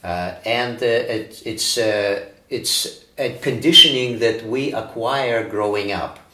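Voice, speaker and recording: A man in his forties from Ukraine, a breathwork teacher, answering interview questions in a calm, reflective way with frequent fillers. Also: medium pitch, slow. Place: bright studio room, indoors. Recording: roomy studio echo.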